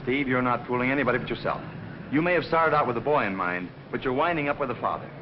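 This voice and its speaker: A man's voice: medium pitch, fast talker, noisy background, natural voice. A man playing a stern, sensible, exasperated fiancé in a vintage film style, on a muffled old soundtrack. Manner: reproving, matter-of-fact. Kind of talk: vintage Hollywood film acting.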